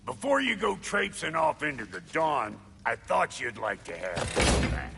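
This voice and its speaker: An actor playing a gruff, sarcastic dwarf blacksmith in a video game. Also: male voice, on the high side, wildly swooping pitch, measured pace, long reverb tail, very noisy, exaggerated voice. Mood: gruff, brusque. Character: gruff, brash blacksmith dwarf, grown man. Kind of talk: video game character acting.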